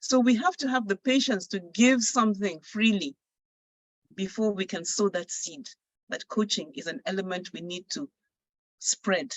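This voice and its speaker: A woman's voice: mid-range voice, normal speed, dry recording, low-quality recording. A woman with a Ghanaian English accent, speaking earnestly and persuasively as a coach moderating an online panel. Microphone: video call.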